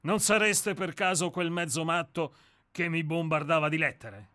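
A man's voice: on the high side, moderate pace, pushed and bright, no echo, clean studio sound. A man reading as a blunt, boozy old novelist. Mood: brusque. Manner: brusque, self-mocking first-person storytelling. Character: blunt, hard-drinking, fat bald male novelist. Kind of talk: amateur reading of a radio play.

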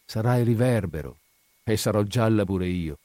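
A man's voice: lowish voice, lively intonation, moderate pace, mellow, no room sound, studio quality, close to an everyday voice. Man voicing a sighing, pious widow. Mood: wry, weary. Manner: sighing, exclamatory, homely. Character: pious, sighing widow, a gossipy evasive neighbour woman. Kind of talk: dramatic audiobook character reading.

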